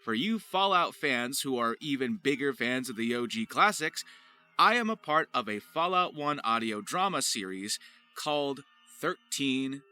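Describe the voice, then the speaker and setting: Play a showy male narrator presenting his voice work, lively pitch swings, grand turns of phrase. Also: a little slow, faint music behind. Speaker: voice actor and video host. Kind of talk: scripted video narration with playful showmanship.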